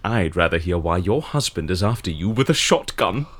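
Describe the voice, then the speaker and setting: An actor playing an eager, polite and naively festive interviewer in an audio drama, chatty and theatrical. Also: male voice, low-pitched, expressive, normal speed, fairly bright tone. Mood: curious. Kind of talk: scripted audio drama acting.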